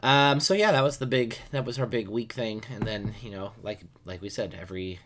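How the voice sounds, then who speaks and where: A man in his thirties with a very deep, low voice co-hosts a podcast. He talks in a relaxed, rambling way, full of fillers and restarts. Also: brisk. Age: 30s. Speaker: podcast co-host. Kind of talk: casual chat.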